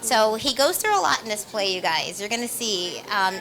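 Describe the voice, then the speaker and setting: A woman's voice: mid-range voice, expressive, normal speed, fairly bright tone, low-quality recording. A woman, a stage actress, giving conversational interview answers in an earnest, emphatic manner.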